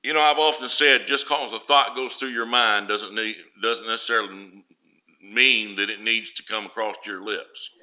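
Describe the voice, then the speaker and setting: A male preacher speaks in a plain, homespun way and leans on everyday examples. His voice is naturally loud and very bright, almost cutting, and it climbs in pitch when he drives a point home. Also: fairly even intonation, moderate pace, low-quality recording. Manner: homespun, storytelling, rises loud for emphasis. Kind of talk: folksy sermon.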